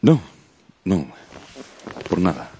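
An actor playing a proud, reserved gentleman suitor in a radio drama, speaking formally and haltingly. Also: low voice, big pitch swings, slightly rough, exaggerated voice. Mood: awkward, probing.

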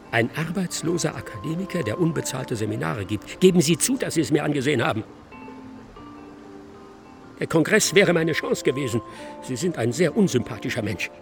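An actor playing a crushed, self-pitying unemployed academic in a radio drama, aggrieved and wounded. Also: male voice, fairly high pitch, gravelly. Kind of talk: German radio drama monologue. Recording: music loud behind the voice.

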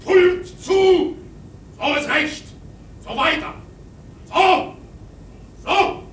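Casting: rough seafaring slave-driver, very high strained bark, roomy distant radio-drama sound with faint rumble. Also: male voice, extremely slow, heavily acted. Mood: driving. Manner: barking, goading, shouted commands. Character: rough, driving whaleboat officer bellowing at his rowers.

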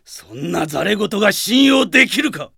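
An actor playing a proud, suspicious older general in a radio drama, with indignant, old-fashioned speech. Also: male voice, sits high, sing-song, moderate pace, creaky, bright, forceful tone, breathy edge, no echo, studio quality, heavily acted. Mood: scornful suspicion. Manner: indignant, blustering archaic speech.

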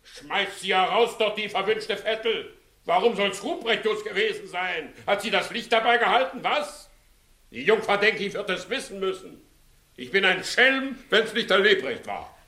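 Here is a crooked country judge in an old radio play. His voice sits fairly high and leaps about, moving from sly wheedling to loud bluster in a theatrical way. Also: expressive, normal speed, heavily acted. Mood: blustering anger. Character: blustering, scheming village judge hiding his own guilt. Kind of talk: 1960s German-language radio acting.

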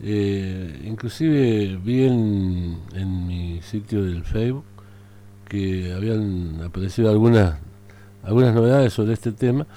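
Male radio host, Argentine accent, slow and hesitant, mellow low voice. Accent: Argentine Spanish. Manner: hesitant, many fillers. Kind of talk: casual radio talk.

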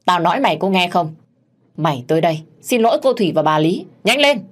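A woman's voice: on the low side, fast talker, a little mellow, lightly acted. A woman voicing a domineering older mistress of the house in an audio story, scolding and barking orders. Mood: shouted command.

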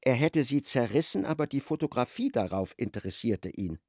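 A man narrating a radio play as literary storyteller, in a measured and wry manner. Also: mid-range voice, animated, a little mellow, no room sound, clean studio sound.

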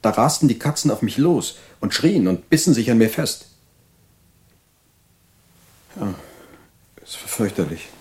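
An actor playing an anxious, paranoid male mental patient confessing his story in a halting, brooding way in an audio drama. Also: mid-range voice, expressive, darkish tone, lightly acted. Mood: haunted.

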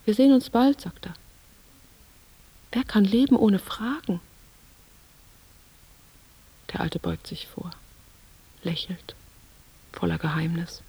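An anxious, guarded figure in a literary audio drama. Her mid-pitched voice has a marked creak, and she thinks aloud in clipped fragments that can sink toward whispering. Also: animated, a little slow, long pauses, mellow, heavily acted, rough recording. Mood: brooding. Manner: terse, fragmentary, brooding, dropping to whispers. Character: anxious, withdrawn younger woman lost in inner thoughts. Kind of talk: literary radio drama monologue.

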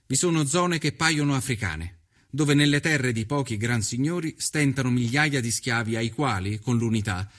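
An earnest journalist of the 1890s voiced by a male actor recounts his travels as scripted documentary narration. His delivery is measured and literary, with a middling pitch for a man. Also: lightly acted.

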